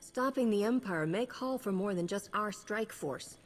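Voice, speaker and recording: An actress playing a cool, confident sci-fi hero in a video game, with a dry, self-assured delivery. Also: female voice, medium pitch, animated, moderate pace, big-room sound, faint music behind, lightly acted. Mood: serious. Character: cool, confident female space hero, dryly wry. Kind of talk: video game cutscene acting.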